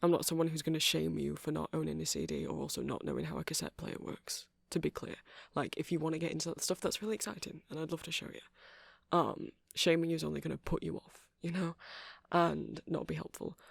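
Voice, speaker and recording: A soft-spoken man doing a rambling ASMR roleplay as a shopkeeper, hesitant and apologetic in manner. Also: fairly high pitch, animated, fast, creaky, husky, dry recording, studio quality. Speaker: character performer playing a shopkeeper.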